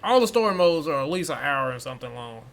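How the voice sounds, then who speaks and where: A man's voice: a little high, big pitch swings, fast, creaky, fairly bright tone. A man giving casual, off-the-cuff commentary as a game streamer. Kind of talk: casual commentary over gameplay.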